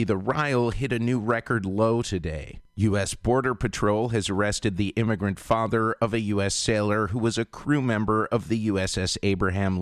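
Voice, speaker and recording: A man reading radio news headlines as the anchor, in a measured, even delivery on a close studio mic. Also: lowish voice, no room sound.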